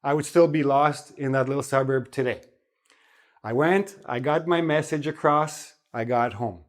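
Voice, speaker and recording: A man's voice: medium pitch, moderate pace. Chatty man teaching English. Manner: relaxed, chatty, repeats "okay?" to check in. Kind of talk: spoken English lesson.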